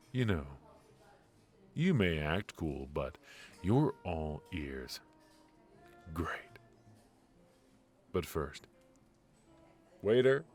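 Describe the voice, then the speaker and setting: A man playing a suave, ruthless mafia boss in a noir audio roleplay, confiding in a measured, intimate, smug way with hesitant pauses. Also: deep voice, exaggerated voice. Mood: smug, teasing. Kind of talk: noir ASMR roleplay monologue.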